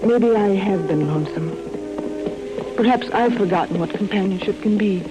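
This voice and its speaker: An actress plays a lonesome, inviting young ranch woman in 1940s radio style. Her pitch is medium. The vintage recording has music clearly behind her. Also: moderate pace, close to an everyday voice, low-quality recording. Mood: tender, coy.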